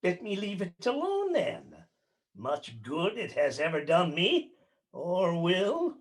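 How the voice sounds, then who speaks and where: A man's voice: sits high, wildly swooping pitch, slow, heavily acted. An actor playing a bitter, miserly old man in a radio play, snarling his lines in a grating, scornful voice.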